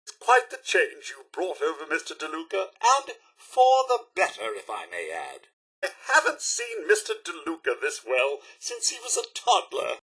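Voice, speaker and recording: A man with a British accent voicing a fussy, courteous robot butler in a video game, with a raspy edge and florid, droll delivery. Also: a little high, pushed and bright, heavily acted. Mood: grateful, dry. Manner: pompous, florid, theatrically courteous. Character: polite, fussy male robot butler, droll and long-suffering. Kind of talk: video game character voice lines.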